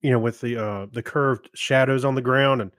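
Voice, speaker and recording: Male podcast sidekick, medium pitch, casual halting delivery, very dry room sound. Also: fairly even intonation, a bit bright, no echo. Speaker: podcast co-host. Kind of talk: casual chat.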